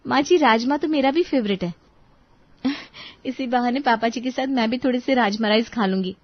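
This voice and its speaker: A woman playing a polite but exasperated young daughter-in-law in a dramatized story, expressive in the way she speaks. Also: on the high side, moderate pace, dry recording, dull audio, lightly acted. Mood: polite, cheerful. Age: young adult.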